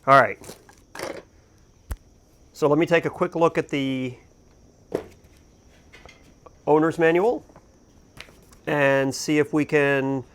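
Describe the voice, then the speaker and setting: A man's voice: on the high side, fairly even intonation, takes it slowly, pauses often, fairly bright tone, a little hiss or hum. Man in a casual unboxing. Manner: conversational, thinking aloud. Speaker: boating video host reviewing gear. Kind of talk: casual unboxing and review talk, unscripted.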